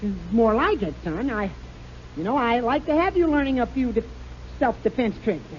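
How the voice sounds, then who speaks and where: An actor playing a bumbling, excitable husband in a 1950s radio sitcom, stammering when flustered, on a dull, noisy 1950 radio recording. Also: male voice, very high-pitched, moderate pace.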